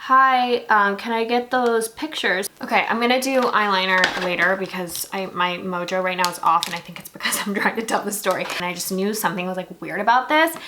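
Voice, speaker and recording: Young female beauty vlogger from Singapore, bright tone, rambling casual chat. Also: mid-range voice, fairly even intonation, a little fast, hardly any pauses, slight background noise. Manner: chatty, lots of "like" fillers. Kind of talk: casual chat while doing makeup.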